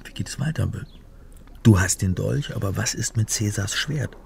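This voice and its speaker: An actor playing a rattled but dryly wry amateur sleuth in a naturalistic German radio crime drama. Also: male voice, lowish voice, moderate pace, creaky, a little mellow, a little hiss or hum. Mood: puzzled, questioning.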